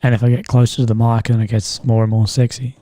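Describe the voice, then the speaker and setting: A young man with a strained, slightly croaky voice, rambling and swearing as he chats with viewers on a live stream. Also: mid-range voice, little pitch movement, quick pace, mellow, no echo. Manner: rambling, swears often, laughs at own jokes. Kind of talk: casual live stream chat.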